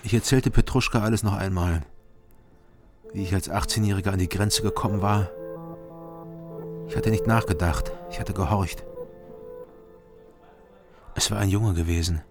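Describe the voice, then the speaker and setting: A man's voice: low voice, normal speed, pauses often. An actor narrating a radio drama as a world-weary, guilt-ridden man, in sober, terse sentences. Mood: remorseful. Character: world-weary, guilt-ridden male narrator, haunted former border soldier. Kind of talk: scripted first-person radio drama narration. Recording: music behind the voice.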